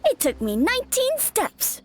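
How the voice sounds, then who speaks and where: A woman's voice: very high-pitched, wildly swooping pitch, a little slow, slight background noise, full character voice. A woman voicing a cheerful, playful child in a video game, upbeat and eager. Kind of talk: scripted video game dialogue.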